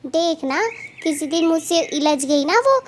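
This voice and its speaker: A woman's voice: high voice, expressive, a little slow, a little hiss or hum. A woman voicing a quarrelsome, hot-tempered female cartoon bird with an emphatic, taunting, scolding way of talking. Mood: angry. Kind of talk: animated cartoon dialogue.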